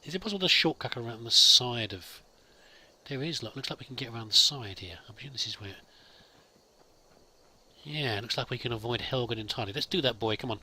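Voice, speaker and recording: A man's voice: mid-range voice, expressive, a little fast, pushed and bright, slightly rough. A man musing aloud as he plays, improvising in-character commentary and talking to his companion as a let's play host.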